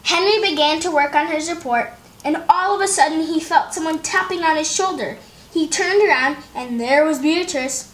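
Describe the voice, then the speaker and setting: Children's storybook host, female, notably high voice, read-aloud narration. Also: normal speed, fairly bright tone.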